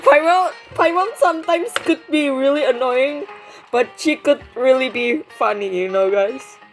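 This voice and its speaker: Young woman streaming a game, higher voice, takes her time, rambles playfully, game music behind. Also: expressive, unhurried, poor audio. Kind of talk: casual gameplay commentary.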